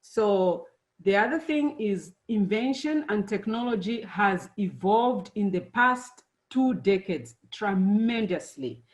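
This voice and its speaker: A woman's voice: medium pitch, lively intonation. Zambian-born woman, middle-aged, friendly talk to students, lots of ums. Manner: friendly, unhurried, many fillers. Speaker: engineer giving a talk to students. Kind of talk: presentation to students.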